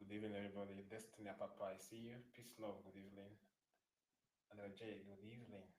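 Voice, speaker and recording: Nigerian man, livestream host, low voice, echoey room. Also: little pitch movement, fast talker, darkish tone, recorded from a distance. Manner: greets chat viewers by name. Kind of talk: casual live-stream greetings.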